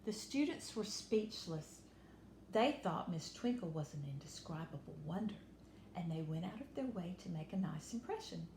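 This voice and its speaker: Expressive, lilting woman reading a storybook. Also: on the low side, normal speed. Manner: dramatic, dropping to hushed tones. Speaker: story reader. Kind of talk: expressive children's picture book read-aloud with asides. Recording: roomy echo, faint background noise.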